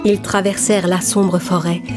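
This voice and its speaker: A woman reading scripted narration for an animated children's story. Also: lowish voice, normal speed. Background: music.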